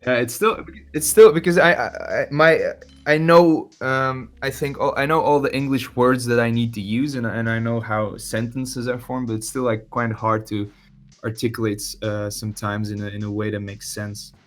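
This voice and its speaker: Young man, belgian accent, dutch native speaker, halting with fillers, mid pitch, faint music behind. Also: fairly even intonation. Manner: hesitant, many fillers and restarts. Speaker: musician guest. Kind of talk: casual podcast interview.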